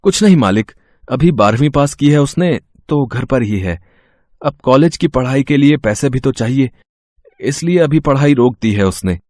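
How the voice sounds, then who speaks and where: A man's voice: mid-range voice, animated, normal speed, darkish tone, dry recording, studio quality, lightly acted. A man playing a poor, humble labourer father in a moral story, speaking in a subdued, deferential way. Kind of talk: dramatised Hindi moral story acting.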